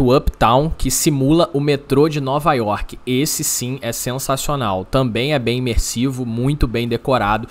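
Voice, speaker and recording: A man narrating a travel vlog, giving casual, upbeat restaurant recommendations. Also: mid-range voice. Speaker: travel vlogger.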